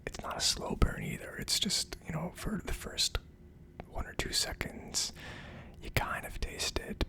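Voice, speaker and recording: Whispering ASMR host, barely voiced. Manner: hushed, unvoiced whispering throughout. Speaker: host of an ASMR whiskey tasting show. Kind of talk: ASMR, casual tasting review.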